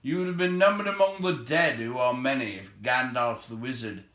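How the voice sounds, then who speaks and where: A man's voice: medium pitch, normal speed, a bit bright, reverberant, close to an everyday voice. A man voicing a helpful, urgent soldier from a storybook, declaiming in formal speech. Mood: urgent, relieved. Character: swift, sure male soldier, urgent and helpful.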